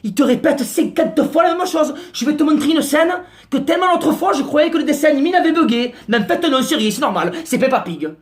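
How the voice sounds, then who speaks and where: A man's voice: very high voice, lively intonation, brisk, hardly any pauses. A man doing a slangy, exasperated comic rant to camera. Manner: slangy, exasperated, mock-outraged. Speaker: comic vlogger.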